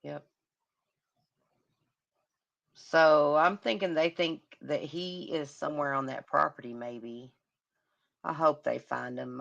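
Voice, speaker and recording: A woman hosting a casual livestream, commenting on a crime case in a hoarse voice as she loses it and humming to herself. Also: very deep voice, a bit bright, dull audio. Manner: murmuring, sympathetic, hums to herself. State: hoarse, losing her voice. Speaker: livestream host.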